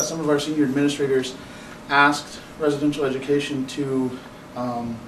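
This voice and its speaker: A man presenting research at a conference panel, steady and explanatory with fillers. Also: mid-range voice, a little hiss or hum. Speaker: residential education staff presenter. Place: classroom. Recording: echoey classroom, distant mic.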